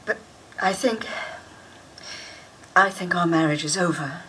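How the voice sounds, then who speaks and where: An actress playing a resolute, restrained mother in a radio drama, firm and measured. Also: lowish voice, fairly bright tone, a little hiss or hum, rough recording.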